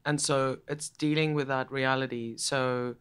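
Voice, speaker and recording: A woman's voice: a bass voice, fairly even intonation, unhurried, smooth. A middle-aged South African woman hosting a casual interview chat, speaking frankly, swearing now and then and repeating words as she thinks. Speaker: podcast host. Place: room in a coworking space.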